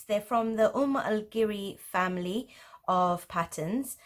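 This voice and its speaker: Patient woman teaching a drawing lesson. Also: medium pitch. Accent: Pakistani English. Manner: patient, explanatory, with light laughs. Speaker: art teacher. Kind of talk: tutorial explanation.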